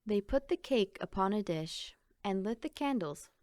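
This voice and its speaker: A woman reading a children's picture book aloud in an expressive, theatrical storytelling manner with emphatic phrasing. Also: medium pitch, normal speed, no echo, clean studio sound.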